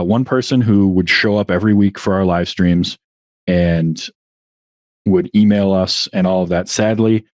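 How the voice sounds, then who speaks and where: Relaxed low-voiced man, dry sound. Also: no echo. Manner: relaxed, chatty, frequent fillers. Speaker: podcast guest. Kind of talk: casual interview chat.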